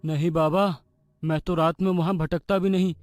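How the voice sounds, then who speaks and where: A man's voice: fairly high pitch, flat delivery, moderate pace, darkish tone, slight background noise, lightly acted. A man voicing a chatty, superstitious young hotel errand boy, informal and obliging, in a horror cartoon. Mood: wary, gossipy.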